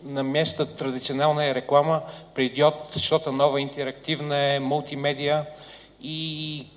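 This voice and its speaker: A man's voice: a little high, level tone, moderate pace. A man interpreting a seminar talk, hesitating and searching for words. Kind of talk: consecutive interpreting. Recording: hall reverb.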